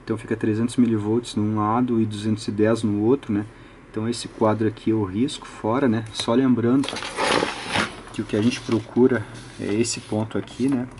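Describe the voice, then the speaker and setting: A man's voice: lowish voice, flat delivery, normal speed, darkish tone, a little hiss or hum. A Brazilian man giving an unscripted technical tutorial, methodically thinking aloud and often adding short tag questions. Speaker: electronics hobbyist presenter.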